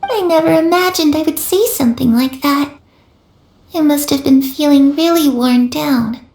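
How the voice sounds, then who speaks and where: A woman's voice: high-pitched, normal speed, darkish tone, reverberant. A woman voicing a cheerful, trusting young girl ghost who talks earnestly and childlike in a game cutscene. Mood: wistful. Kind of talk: video game cutscene voice acting.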